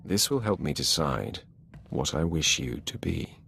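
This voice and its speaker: An actor playing a commanding Sith master in a video game, cold, ruthless and smug, with an imperious, measured delivery. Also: male voice, lively intonation, bright, forceful tone, a little hiss or hum, heavily acted. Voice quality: very deep, gravelly. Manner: measured, imperious, dryly suggestive. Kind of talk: scripted video game acting.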